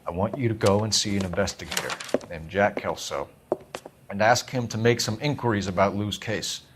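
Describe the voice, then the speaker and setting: An actor playing an earnest, guarded young American police detective from the 1940s in a grave, clipped manner. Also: male voice, on the low side, normal speed, slight background noise, lightly acted. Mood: persuasive. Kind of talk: dramatic video game acting.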